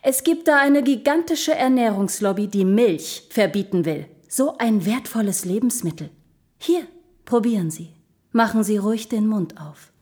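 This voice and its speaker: An actress playing a vain, seductive milk carafe in an audio drama, purring and condescending like an aging advertising diva. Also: female voice, mid-range voice, animated, moderate pace, darkish tone, close to an everyday voice. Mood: aggrieved, theatrical. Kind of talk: scripted audio drama acting.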